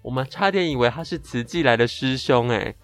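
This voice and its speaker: Young man, Taiwanese Mandarin accent, mid-pitched voice, podcast co-host, chatty comic storytelling, playful exaggeration, faint music. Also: fairly quick.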